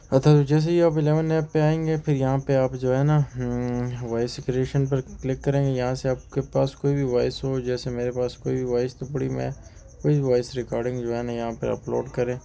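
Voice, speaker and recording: A man's voice: medium pitch, flat delivery, creaky, warm tone, clean tone. A man narrating an informal tutorial, explaining step by step and repeating himself. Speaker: tutorial presenter.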